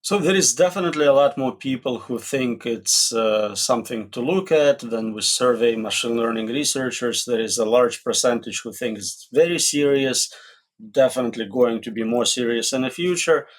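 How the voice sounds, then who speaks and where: A man's voice: medium pitch, normal speed. A man with a mid-range voice speaks as an expert guest in an interview. He gives his answers plainly and bluntly, in a matter-of-fact manner. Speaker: expert guest, AI safety researcher.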